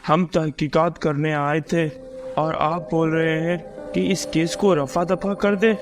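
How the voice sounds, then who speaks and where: Man playing a high-voiced questioning police inspector. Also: level tone, slow pace, music in the background. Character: official male police inspector, questioning and easily swayed.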